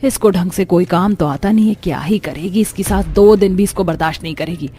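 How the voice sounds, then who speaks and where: A woman's voice: fairly low pitch, fairly quick, mellow, natural voice. A woman voicing a spiteful, scornful aunt in a cartoon story, grumbling with disdain. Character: spiteful, scornful aunt, resentful of her niece.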